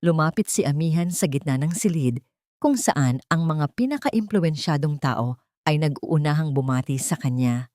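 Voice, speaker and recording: A woman's voice: low voice, expressive, moderate pace, a little mellow, dry recording, studio quality. A woman with a Filipino accent reading a dramatic scripted story as an audiobook narrator.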